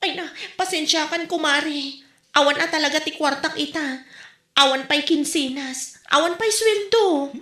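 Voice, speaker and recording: A woman's voice: high-pitched, measured pace, pushed and bright, echoey room. A woman with an Ilocano accent, playing a borrower who pleads and complains about a friend's demands. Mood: aggrieved. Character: harried borrower woman, defensive and aggrieved.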